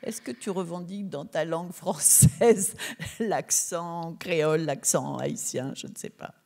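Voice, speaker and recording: A woman's voice: lowish voice, measured pace, gravelly, mellow. A woman hosting an informal literary interview, phrasing her questions tentatively as she thinks aloud.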